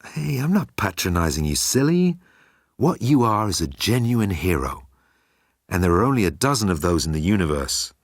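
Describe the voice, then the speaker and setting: A man's voice: deep voice, big pitch swings, moderate pace, no room sound, clean studio sound, full character voice. A man voicing a cocky, flippant male alien rogue with a breezy, teasing manner in a comic sci-fi audiobook. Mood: flattering.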